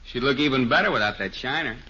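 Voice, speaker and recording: An actor playing a dry, joking husband and family friend in an old-time radio sitcom, casual in manner. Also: male voice, medium pitch, fast talker, crowd noise, lightly acted. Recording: muffled, noisy old radio recording.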